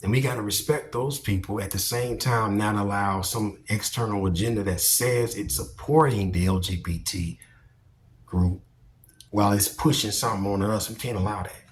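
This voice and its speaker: Blunt, deep-voiced man preaching his views. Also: steady tone, moderate pace, a little hiss or hum. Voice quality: deep projecting voice. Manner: blunt, profane, preachy and emphatic. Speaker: commentary vlogger. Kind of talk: opinionated monologue commentary.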